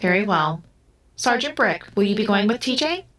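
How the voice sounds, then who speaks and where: An actress playing a literal-minded smart-house artificial intelligence in an audio drama, with precise, stilted, formal phrasing. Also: female voice, mid-range voice, animated, moderate pace, a bit bright. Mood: matter-of-fact.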